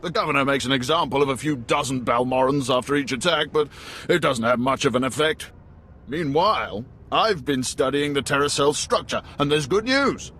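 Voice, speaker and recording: An actor playing an older, seasoned station chief in a video game, unhurried and avuncular. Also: male voice, medium pitch, fairly bright tone, a little hiss or hum, close to an everyday voice. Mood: grave, measured. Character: seasoned older male Imperial intelligence station chief, genial, measured. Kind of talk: video game dialogue acting.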